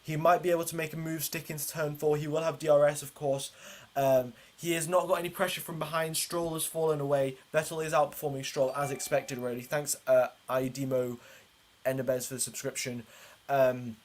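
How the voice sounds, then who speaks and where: Higher-voiced man giving informal race commentary. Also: level tone, a little fast. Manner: informal, chatty, explanatory. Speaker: livestream host and commentator. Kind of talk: live motor race watchalong commentary.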